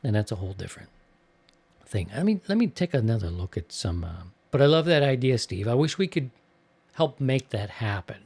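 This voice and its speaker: A man's voice: mid-range voice, big pitch swings, brisk, gravelly, darkish tone, breathy edge. Enthusiastic, rambling male podcast host. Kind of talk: casual chat.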